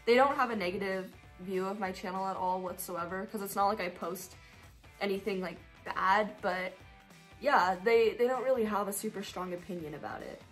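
A teenage girl from Colorado chats through viewer questions in a casual vlog. Her voice sits at an ordinary pitch, and her talk is upbeat and full of fillers. Soft music plays faintly behind her. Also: normal speed. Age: teens. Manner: chatty, upbeat, rambling with fillers. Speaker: YouTuber answering viewer questions.

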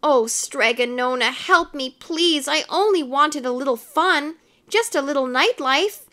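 Woman acting panicky vain young man, storybook character, pleading, exclamatory, high and bright. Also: unhurried. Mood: desperate pleading. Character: excitable young man, vain, lovestruck-chased, easily panicked.

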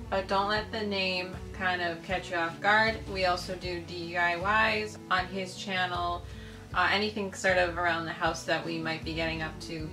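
A woman's voice: on the low side, roomy sound. A woman in her thirties hosting a home channel, chatting casually from a couch and explaining things to viewers. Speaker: channel host, wife. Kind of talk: casual chat. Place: living room, seated on a couch.

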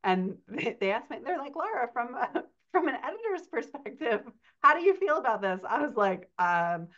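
A woman's voice: fairly high pitch, sing-song, normal speed, a bit bright. A woman in her thirties, a writing coach and editor, chatting on a podcast over a video call, laughing often and telling stories with animation. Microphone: computer mic on video call. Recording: slightly dull lo-fi call audio.

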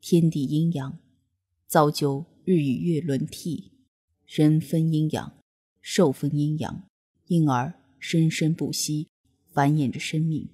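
Woman doing a low, solemn male god. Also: takes it slowly, slightly rough, exaggerated voice. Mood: solemn calm. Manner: calm, solemn, preachy. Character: serene, austere male god, moralizing and unmoved.

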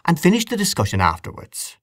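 Young niece played by a male reader, lifted pitch, polite, coaxing peacemaker in storybook dialogue. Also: wildly swooping pitch, a little fast, fairly bright tone, no echo, studio quality, full character voice. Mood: pacifying. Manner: pacifying, coaxing. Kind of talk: acted audiobook dialogue.

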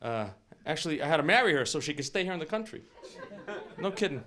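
A man in his forties doing animated, incredulous stand-up comedy, often interrupting himself. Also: a little high, fast talker. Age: 40s. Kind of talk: stand-up comedy before a live audience. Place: small TV studio stage with audience. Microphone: handheld microphone, later on a stand. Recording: live studio audience laughing.

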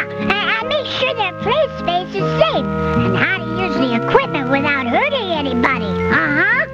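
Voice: very high-pitched, exaggerated voice. Eager young cartoon duck boys. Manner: cheerful, earnest explaining. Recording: muffled old 16mm film sound with music.

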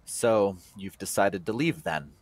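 A man playing a stern, reserved father in a radio play, speaking tersely and haltingly. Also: low voice, a little mellow, no room sound. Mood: disapproving.

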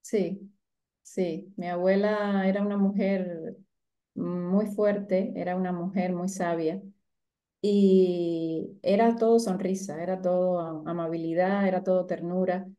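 A middle-aged woman with a softened Cuban accent, speaking reflectively as a guest in an interview over a video call. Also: lowish voice, fairly even intonation, slow. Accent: Cuban, softened by years abroad. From Cuba. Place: indoor room at home, on a video call.

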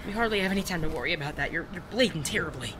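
Someone acting a refined polite gentleman outlaw, tender, western audio drama, noisy ambience behind. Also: mid-range voice, animated, very fast, natural voice. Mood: anxious, tender. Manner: polite, formal, refined wording. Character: genteel, tender gentleman bandit of the Old West. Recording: clear background ambience noise.

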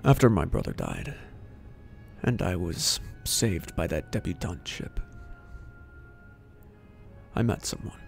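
A man acting in an audio drama as an earnest, awkward, soft-hearted inventor who haltingly searches for words. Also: low voice, vocal fry, exaggerated voice. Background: music.

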